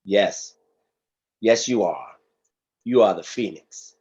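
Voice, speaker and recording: Stern, philosophical guard, read by a man, low and husky, solemn table-read delivery, dull call sound. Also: darkish tone. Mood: admiring. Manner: preachy, solemn, deliberate. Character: stern, philosophical male prison guard, grudgingly admiring. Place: video call. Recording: dull, muffled video-call audio.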